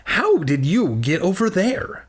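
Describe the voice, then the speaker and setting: A man's voice: high voice, huge pitch swings, unhurried, breathy edge, pitched above the performer's own voice, heavily acted. A man voicing a suspicious, bossy young girl in a theatrical story reading. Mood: puzzled, scolding.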